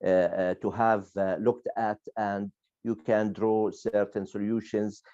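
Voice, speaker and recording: Guy on an online panel giving advice, lowish voice, halting n repeating words, muffled lo-fi audio. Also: level tone, mellow, clean tone, no room sound. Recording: dull lo-fi online call audio.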